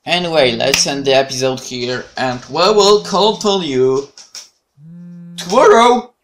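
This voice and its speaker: A man hosting a let's play, reading narration aloud in a measured, dramatic way with casual commentary. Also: mid-range voice, expressive, fairly bright tone, slight background noise.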